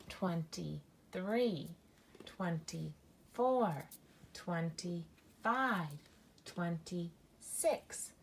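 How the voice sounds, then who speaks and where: Slow, animated woman teacher in her thirties. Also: fairly low pitch, a little hiss or hum. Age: 30s. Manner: slow and animated, counting aloud, with mock-alarmed squeals. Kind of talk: children's math lesson. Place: indoors at a table, hands-only video.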